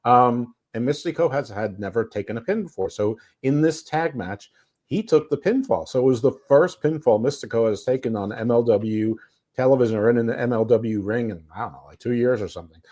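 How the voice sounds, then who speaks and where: A man talks through a wrestling show review in loose, rambling podcast chat, often restarting his sentences and chuckling to himself. His voice sits at an ordinary, mid-range pitch for a man. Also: normal speed, a little mellow. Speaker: podcast co-host.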